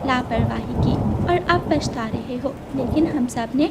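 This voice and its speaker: A woman's voice: high voice, measured pace, heavy background noise. A woman voicing a stern female bird who scolds firmly in a Hindi cartoon fable. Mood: reproachful. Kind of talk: Hindi moral-story cartoon dubbing.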